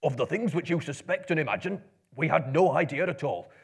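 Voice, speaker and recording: A man performs a proud dwarf leader from a storybook. The voice is husky and raised, with a lively rise and fall, stiffly polite and declamatory. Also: a little high, fairly quick, voice pushed up, full character voice. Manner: formal, declamatory, evasive courtesy.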